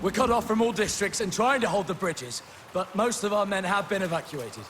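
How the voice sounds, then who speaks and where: An actor playing a dutiful Roman soldier giving urgent, businesslike reports to a superior in a video game drama. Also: male voice, sits high, expressive, fairly quick, noisy background. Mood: urgent, respectful.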